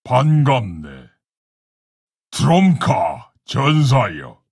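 An actor playing a proud, honorable orc warrior shaman in a video game, speaking in a gravelly, husky, solemn and commanding way. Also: mid-range voice, sing-song, drawn-out words, a little mellow, dry recording, studio quality, exaggerated voice. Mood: solemn, calm. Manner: solemn, commanding, archaic formal phrasing. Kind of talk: video game character battle lines.

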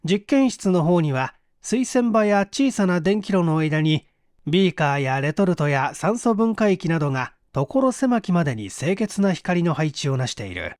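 Man, audiobook narrator, fairly high voice, lively melodic delivery, dry close sound. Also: moderate pace, dry recording. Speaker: audiobook reader. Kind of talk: scripted storytelling narration of a Japanese mystery novel.

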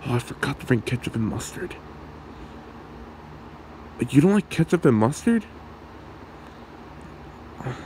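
A man plays a shy, lovestruck young guy in an ASMR roleplay. His voice is low and heavily creaky, and he talks in a halting, awkward way. A faint hum of noise sits behind him. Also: darkish tone. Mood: casual. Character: shy young man, secretly a werewolf, lovestruck best friend.

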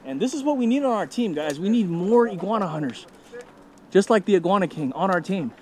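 A man vlogging outdoors, chatty and excited, talking straight to his viewers. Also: sits high, fairly quick. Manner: excited, chatty, keeps addressing viewers as guys. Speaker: vlogger hunting iguanas. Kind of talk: casual vlog narration to viewers. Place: outdoors in a neighborhood field.

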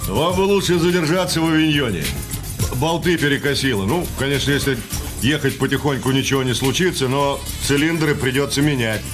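An actor playing a practical, plain-spoken garage mechanic in a radio drama, matter-of-fact and reassuring. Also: male voice, medium pitch, fairly quick, over music, lightly acted.

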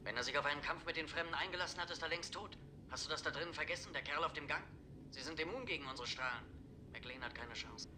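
Quick, fatalistic spaceship crewman, played by a man. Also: a little high, lightly acted. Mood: resigned. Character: pessimistic, resigned male space crewman, trapped. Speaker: actor. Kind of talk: 1960s television science fiction acting. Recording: noisy old 1960s TV soundtrack. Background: ambient noise.

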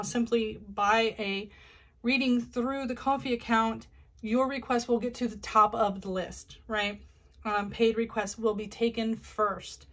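A woman's voice: fairly high pitch, fairly bright tone. A woman hosting her own channel, talking to camera in a chatty, casual way with many fillers and restarts as she sets up a tarot reading. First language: not Korean. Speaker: tarot reader, channel host.

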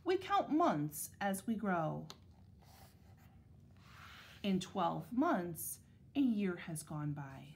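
A woman teacher reading a picture book aloud to young children, with measured, deliberate phrasing. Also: lowish voice, sing-song, creaky, a little mellow, slight background noise.

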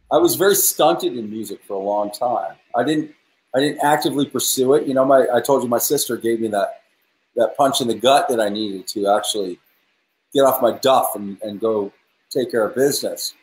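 Guest, New man in his 40s, earnest storyteller, mid pitch, roomy webcam sound. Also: darkish tone. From New England. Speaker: guest musician. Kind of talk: casual video-call interview. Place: home room with guitars, indoors. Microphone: video call webcam.

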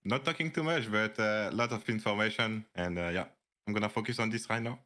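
Man, low-ish bright voice, coaching client, brief grateful halting replies. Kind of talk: casual thanks on a call.

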